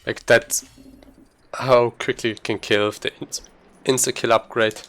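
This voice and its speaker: A man giving casual live commentary on his own video game run, thinking aloud in a halting way. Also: medium pitch, level tone, fairly bright tone. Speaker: speedrunner commentating own gameplay.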